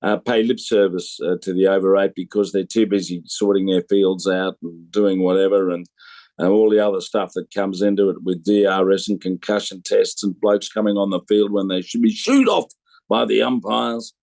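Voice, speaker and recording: A man giving conversational sports punditry, halting between phrases and telling anecdotes. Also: sits low, fairly even intonation, a little mellow, no echo. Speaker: cricket pundit.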